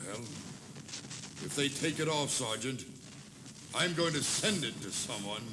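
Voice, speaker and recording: An actor playing a proud, testy Union general in a dramatized history tour, speaking formally in short replies. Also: male voice, medium pitch, lively intonation, unhurried, natural voice. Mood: pained, testy. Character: proud, stubborn Union corps general, testy under rebuke. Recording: noisy old cassette tape dramatization.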